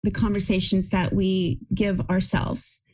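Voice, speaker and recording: A woman's voice: medium pitch, level tone, a little slow, darkish tone. A woman interviewing a guest in a casual conversation, speaking in an encouraging, reflective way.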